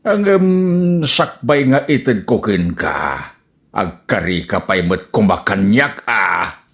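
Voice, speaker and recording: A man acts out a jealous, whiny husband in a radio comedy. His Ilocano-accented voice swings wildly up and down, yet he draws his words out slowly. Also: deep voice, big pitch swings, creaky, fairly bright tone, heavily acted. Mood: sulky.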